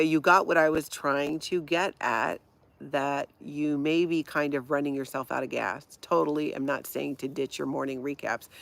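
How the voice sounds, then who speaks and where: Livestream host: middle-aged woman, light Minnesota accent, some vocal fry, casual and chatty. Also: extremely low pitch. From Hawaii. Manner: chatty, laughs often, reacts to viewer comments. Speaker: livestream host reading chat. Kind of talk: casual live-chat talk.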